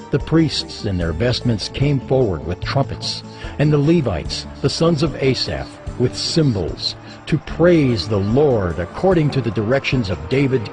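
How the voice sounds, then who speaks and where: Animated male scripture narrator over music. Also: mid-range voice.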